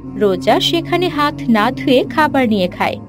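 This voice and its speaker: A woman's voice: sits high, expressive, normal speed, over music. A woman narrating a scripted children's story as the narrator.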